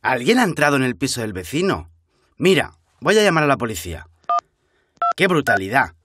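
A man's voice: mid-range voice, big pitch swings, a little fast, background noise, lightly acted. A man playing an alarmed, outraged neighbour in a radio drama.

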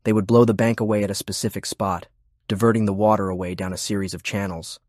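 A man's voice: on the low side, level tone, quick pace, a little mellow, no echo, clean studio sound. A man reading scripted war fiction as an audiobook narrator.

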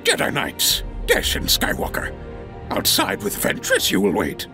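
A man voicing an ancient, wise little alien master with a croaky voice, slow measured speech and inverted word order, in an audio drama. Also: deep voice, huge pitch swings, full character voice. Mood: grave, musing. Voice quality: croaky, gravelly. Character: ancient small wise alien Jedi master, male, calm. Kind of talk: fan audio drama acting. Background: loud music.

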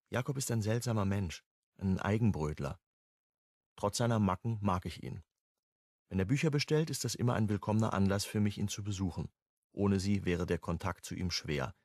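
An actor playing a plain-spoken, reflective book dealer in a radio crime drama, matter-of-fact in manner. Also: male voice, sits low, a little mellow, dry recording, clean studio sound. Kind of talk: radio drama narration and dialogue.

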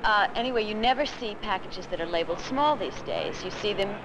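A woman's voice: medium pitch, a little fast. A woman shopper chatting wryly in an outdoor interview, exaggerating as she talks. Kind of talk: casual street interview. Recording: lo-fi 1970s film sound, street noise.

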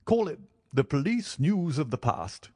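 A man puts on a genial storybook gentleman who sounds wry and knowing. His voice sits above the narrator's, teasing in manner, and the sound is close and dry. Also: fairly high pitch, very animated, measured pace, dry recording, voice pushed up. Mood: jovial, teasing. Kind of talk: audiobook character dialogue.

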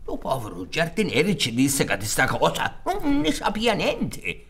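A man in his sixties tells folk tales in a strong theatrical style with a Sicilian accent. He takes his time, drawing words out and repeating them, while his slightly high voice swings widely up and down. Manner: expressive, drawn-out, with repetitions for emphasis. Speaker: storyteller narrator. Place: theatre stage set up for radio recording. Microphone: large studio condenser on stand.

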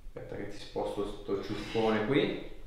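A man's voice: lowish voice, expressive, a little slow, gravelly. A man, an osteopath giving a patient casual, reassuring short instructions in a small clinic room. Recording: echoey small room, background noise, off-mic.

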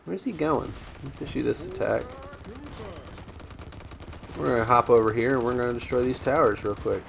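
A man commentating over his own gameplay, chatty and rambling with ums and restarts, his voice slightly raspy today. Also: medium pitch, normal speed, background noise. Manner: rambling, frequent ums and restarts, addresses viewers as guys. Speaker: gaming YouTuber.

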